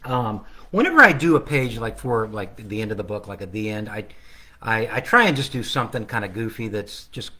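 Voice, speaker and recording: Lively, bright-voiced man reading a picture book. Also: fairly low pitch, brisk. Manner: lively, chatty, stretches out the repeated refrain. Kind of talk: children's storytime reading with chat.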